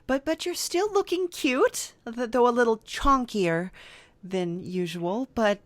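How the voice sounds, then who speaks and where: Female podcast host, unhurried and hesitant, sympathetic, playful interview style, very dry recording. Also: fairly high pitch, expressive, dry recording. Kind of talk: casual, playful comedy interview.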